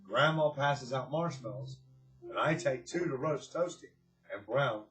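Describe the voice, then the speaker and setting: A man reading aloud and hosting for children, with expressive, drawn-out phrasing and a gentle, encouraging way of addressing them. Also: medium pitch, music in the background, rough recording. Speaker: children's story reader and host.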